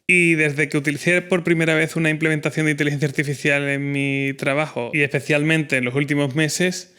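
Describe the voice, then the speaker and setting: A man's voice: mid-range voice, sharp tone, clean tone. A man, hosting a solo podcast in an earnest, reflective way. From Spain. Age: 30s. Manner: earnest, reflective, with fillers and restarts. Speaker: podcast host.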